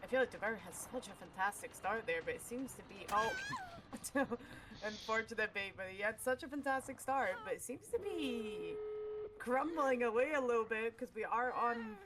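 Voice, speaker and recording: Woman esports caster, quick and excitable, voice fraying, noisy live audio. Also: on the high side. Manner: quick, excitable play-by-play with fillers. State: losing her voice.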